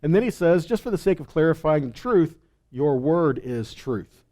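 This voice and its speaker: A man's voice: a little high, expressive, normal speed, mellow. A man giving a conversational Bible teaching, explaining didactically and often posing questions that he then answers himself.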